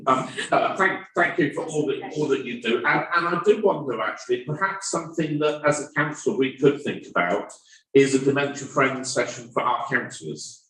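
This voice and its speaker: A man's voice: medium pitch, animated, normal speed, fairly bright tone. A man chairing a town meeting, stumbling and repeating words when he speaks off the cuff. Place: council meeting room. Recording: roomy hall, voice from far off.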